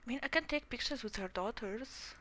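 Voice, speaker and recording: A woman's voice: a little high, brisk, pushed and bright, slight background noise. A woman streamer chatting casually and rambling over her gameplay, often correcting herself mid-sentence. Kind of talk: casual game stream commentary.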